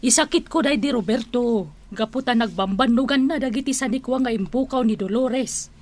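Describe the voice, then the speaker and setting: A fairly high female voice, an actress as a protective kinswoman carrying grief and indignation. She plays it with the fiery feeling of an Ilocano radio serial. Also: moderate pace, lightly acted. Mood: indignant. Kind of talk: Ilocano radio drama acting.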